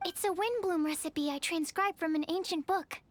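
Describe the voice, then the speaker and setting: A woman's voice: very high voice, normal speed. A woman voicing a timid, earnest young female researcher in an English video game dub, polite, formal and a little hesitant. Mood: hesitant, explaining.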